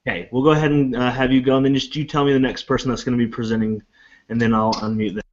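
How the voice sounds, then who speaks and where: A man instructor running an online class, relaxed and filling pauses with ums. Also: medium pitch, slight background noise. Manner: relaxed, apologetic, lots of fillers. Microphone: video call. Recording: lo-fi online call audio.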